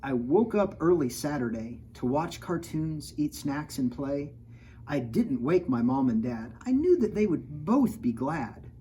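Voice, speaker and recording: A man's voice: a little high, sing-song, measured pace, mellow, slight background noise. Man reading as mischievous little three-year-old girl, sing-song. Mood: innocent, pleased. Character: mischievous, self-justifying three-year-old girl telling her week. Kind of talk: rhyming picture-book narration.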